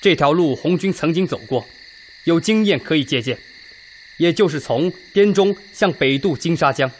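An actor playing a practical army officer in a radio drama, giving a formal, orderly briefing. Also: male voice, a little high, expressive, moderate pace, slight background noise, natural voice. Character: practical male army officer proposing a route.

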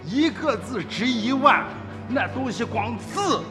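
Animated, stern old village uncle. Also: male voice, extremely high pitch, takes it slowly, full character voice. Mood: urgent, coaxing. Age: older. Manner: emphatic, exclamatory rustic way of talking. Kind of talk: radio drama acting. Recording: reverberant studio drama with music.

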